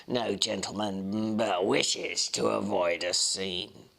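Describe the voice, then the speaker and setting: A man acts out a sinister villainous gentleman from a storybook. He is coolly composed and sneering, drawing his words out slowly and deliberately at a medium to low pitch. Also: fairly even intonation, creaky, heavily acted. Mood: cold, sneering. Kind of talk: dramatic audiobook reading.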